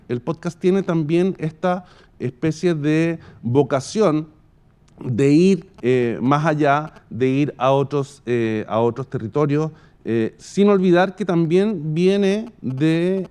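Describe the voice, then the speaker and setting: A Chilean man giving a semi-improvised conference talk in a halting, thoughtful way, often pausing mid-phrase. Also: fairly high pitch, a little mellow, clean tone. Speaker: podcast company founder giving a presentation.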